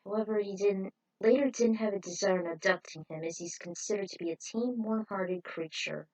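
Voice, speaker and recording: A woman with a mid-pitched voice narrates a fan fiction story. She reads informally, like someone sharing her own writing, and steps out of the text to add opinionated comments. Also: fairly quick, darkish tone, dry recording, poor audio. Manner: informal, breaks off for opinionated asides.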